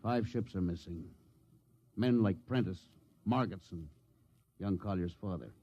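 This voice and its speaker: A man's voice: sits low, measured pace, warm tone. A seasoned spaceship skipper from a 1950s radio play. He is commanding and loyal, with a weary streak, on a dull old recording. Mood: earnest, weary. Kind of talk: 1950s radio drama acting.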